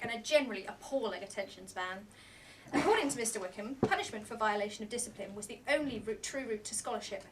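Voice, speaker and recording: A woman with a fairly high voice reads prose from a novel aloud. She is some way from the mic, with faint room noise around her. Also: fairly bright tone. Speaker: reader at a book launch. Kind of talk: scripted narration, reading a novel aloud. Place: indoor bookshop launch party. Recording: distant mic in a noisy room.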